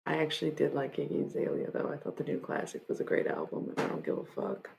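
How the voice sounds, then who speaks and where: A woman's voice: sits low, sing-song, quick pace, vocal fry, a little mellow. A young woman from Michigan chatting casually on a live stream, joking about herself and breaking into rap lines. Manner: joking, self-mocking, freestyles rap lines.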